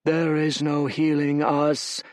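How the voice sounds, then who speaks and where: A man voicing a rotting two-headed god monster that speaks with gentle, weary resignation. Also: fairly high pitch, monotone, slow, dry recording, pitched above the performer's own voice. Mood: resigned.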